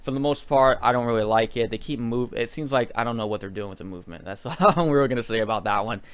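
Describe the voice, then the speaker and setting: A man's voice: medium pitch, fast, clear, resonant tone, dry recording. A man giving casual video game commentary in a rambling, hesitant way, often repeating himself.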